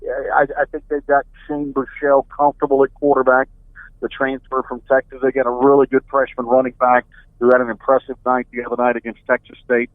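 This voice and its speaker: A middle-aged man speaks over a slightly muffled, dry phone connection. He has a mid-pitched voice and talks like a radio sports announcer being interviewed, conversational and analytical. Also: monotone, brisk, no room sound. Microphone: telephone. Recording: band-limited phone line.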